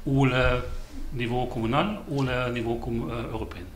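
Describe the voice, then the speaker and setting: A male candidate, speaking haltingly and searching for words in a language that is not his first. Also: mid-range voice, reverberant, slight background noise. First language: German. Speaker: political candidate. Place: television studio in Brussels.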